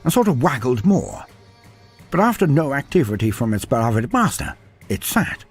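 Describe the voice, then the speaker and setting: A man's voice: medium pitch, very animated, moderate pace, husky. A man narrating a humorous story in a dry, wry manner, breaking off into playful sidebars. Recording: faint music behind narration.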